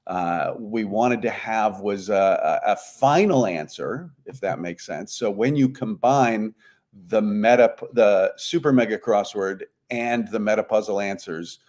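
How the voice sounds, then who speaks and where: A man's voice: lowish voice, unhurried, a little mellow. A man speaking as an interview guest, giving conversational, explanatory answers. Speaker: guest, puzzlemaker.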